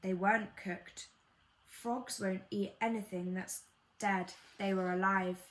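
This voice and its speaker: A woman's voice: medium pitch, steady tone, takes it slowly, a bit bright. A woman playing a kindly grown-up who tells a child a family tale in a patient, affectionate, matter-of-fact way.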